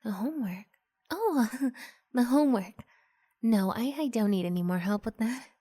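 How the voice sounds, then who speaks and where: Woman playing a breathy, coaxing young vampire girl. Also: on the high side, animated, no room sound. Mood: awkward, coaxing. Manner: hushed, halting, often slipping into breathy whisper. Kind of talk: ASMR roleplay, improvised.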